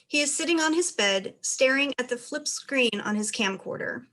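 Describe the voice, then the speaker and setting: A woman's voice: sits high, lively intonation, normal speed, fairly bright tone. A woman reading out stage directions as the narrator of a dramatized play reading.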